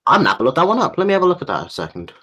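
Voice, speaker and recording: A man co-hosting a fan countdown in casual geeky chat, jokey and giggly, with halting phrasing. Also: fairly high pitch, huge pitch swings, pushed and bright, slightly rough. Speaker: co-host of a fan countdown video.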